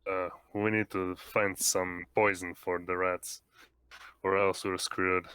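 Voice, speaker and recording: A man's voice: low voice, level tone, takes it slowly, pushed and bright, smooth. A man playing a tabletop game, talking casually and searching hesitantly for his words.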